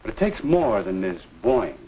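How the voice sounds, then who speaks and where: Genial 1950s minister, very lively intonation. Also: male voice, on the low side, husky. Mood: explaining. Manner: avuncular, measured, folksy lecturing. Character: genial, earnest male minister counseling a young couple. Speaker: actor in an instructional film. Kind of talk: 1950s instructional film acting. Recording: dull 1950s film soundtrack.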